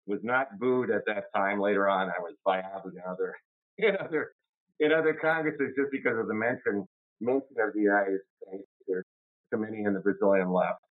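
An older man, a labor lawyer, answering interview questions in a halting way with many restarts and fillers. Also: fairly low pitch. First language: English. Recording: tinny phone-like line.

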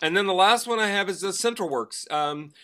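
Bright, higher-voiced male podcast co-host. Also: brisk, clean tone. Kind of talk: casual show announcements and chat.

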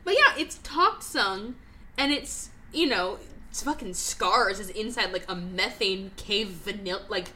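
Young female podcast host, bright and animated, swears freely, casual review chat. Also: mid-range voice, a little hiss or hum. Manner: profane, rapid, filler-heavy with many "like"s.

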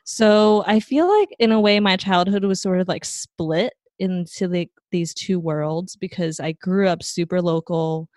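A young woman from Taiwan, a guest in a casual interview, talking in a relaxed, rambling way with plenty of fillers. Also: on the low side, unhurried, hardly any pauses, no echo, clean studio sound. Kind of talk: casual conversation.

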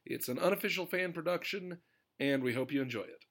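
A man reading a formal, deliberate scripted announcement. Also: fairly high pitch, a bit bright. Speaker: disclaimer announcer.

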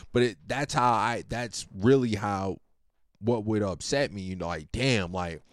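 A man's voice: medium pitch, no echo, studio quality. A man in his late twenties talking casually and at length about his family, in a rambling, slang-heavy, laid-back way. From Virginia. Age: 20s. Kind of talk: casual personal monologue.

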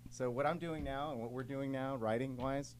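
A man's voice: mid-range voice, fairly even intonation, moderate pace, darkish tone, slight background noise. A man from Los Angeles who plays in a band, talking earnestly and loosely with many fillers. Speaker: band member. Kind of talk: casual interview chat.

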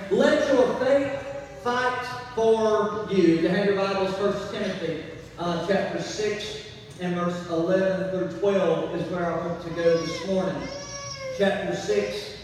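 A man's voice: sits high, a little mellow. A man preaching a church sermon as pastor, folksy and emphatic, repeating key phrases, his voice a little weakened by laryngitis. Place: church, speaking to a congregation. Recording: very echoey hall, distant mic, faint noise.

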